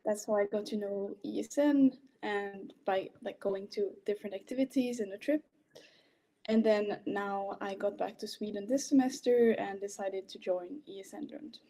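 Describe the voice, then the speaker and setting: A woman's voice: mid-range voice, moderate pace, warm tone, poor audio. A woman in her twenties from French-speaking Belgium, a native French speaker, giving an informal prepared presentation as a candidate. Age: 20s.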